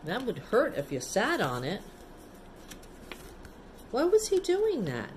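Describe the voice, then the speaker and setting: A woman teacher reading a picture book to children, pausing to explain things simply and ask the young listeners questions. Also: a little high, huge pitch swings, a little hiss or hum. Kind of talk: storybook read-aloud with chatty asides to children.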